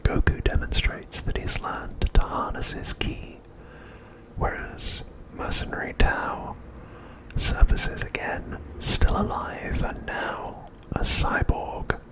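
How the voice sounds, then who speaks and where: A man narrating a script as ASMR in a breathy, unvoiced whisper, softly and deliberately, pausing often between phrases. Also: low-quality recording. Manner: soft, deliberate, with frequent pauses between phrases.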